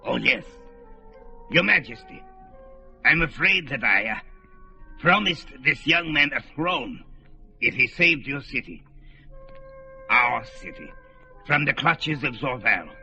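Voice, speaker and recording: An actor playing an ancient, wily old wizard with a sly, dry manner in a radio play. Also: male voice, mid-range voice, animated, takes it slowly, vocal fry, pauses often, bright, forceful tone, exaggerated voice. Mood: sheepish. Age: older. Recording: dull lo-fi radio play with music.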